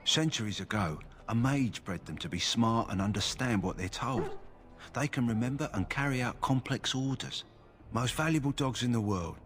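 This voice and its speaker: A man's voice: mid-range voice, lively intonation, moderate pace, a bit bright, close to an everyday voice. An actor playing a male kennel master in a fantasy game, talking steadily and practically about a sick war hound. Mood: concerned.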